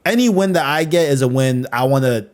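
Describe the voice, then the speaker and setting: A man hosting a casual podcast chat, circling back to his points and leaning on filler phrases. His voice sits at an everyday middle pitch. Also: lively intonation, brisk. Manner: rambling, repeats "you know what I'm saying". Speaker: podcast host.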